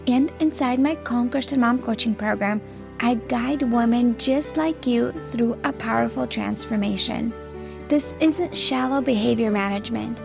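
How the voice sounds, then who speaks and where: A woman's voice: fairly high pitch, measured pace, gravelly, over music. A woman in her thirties, born in Latvia with Russian as her first language, hosting a podcast in an earnest, chatty, encouraging way. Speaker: podcast host, anger coach for moms. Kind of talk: casual solo podcast chat.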